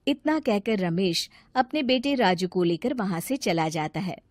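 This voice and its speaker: A woman reading scripted narration for an animated moral story. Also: mid-range voice, a little slow, no echo, clean studio sound.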